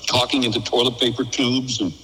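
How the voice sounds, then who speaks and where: A man's voice: medium pitch, level tone, brisk, sharp tone. An older man chatting casually over the telephone, telling folksy, good-humored anecdotes as a guest. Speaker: interview guest, radio veteran. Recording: phone call, reverberant.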